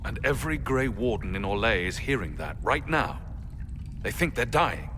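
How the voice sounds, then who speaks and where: An actor playing an impassioned heroic comrade in a fantasy game, with an urgent, expressive delivery. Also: male voice, medium pitch, normal speed, lightly acted. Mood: urgent concern. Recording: reverberant cave, noisy water ambience.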